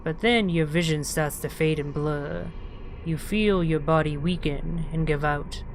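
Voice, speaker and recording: A let's player giving casual video game commentary, musing out loud and reading game text aloud. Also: medium pitch, a little slow, a little hiss or hum. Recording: game music behind the voice.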